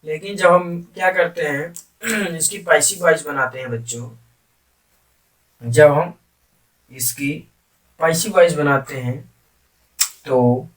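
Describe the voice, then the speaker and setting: A male grammar teacher with a fairly high-pitched voice. He explains step by step, repeating key terms and asking the class questions as he goes. The microphone sits some distance away from him. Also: slow, pauses often. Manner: repetitive, question-and-answer teaching, addressing students. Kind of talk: classroom-style grammar lesson in Hindi with English terms.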